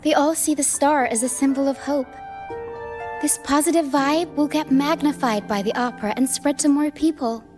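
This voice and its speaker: A woman's voice: high voice, normal speed, lightly acted. A woman voicing a hopeful, whimsical young girl in a video game, earnest and musing. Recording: music behind the voice. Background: music.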